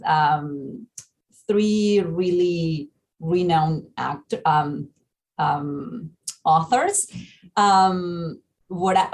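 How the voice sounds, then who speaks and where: A woman's voice: on the low side, darkish tone, slight background noise. A woman from Argentina with an Argentine Spanish accent, a programming manager explaining a festival in an interview, with many fillers and restarts.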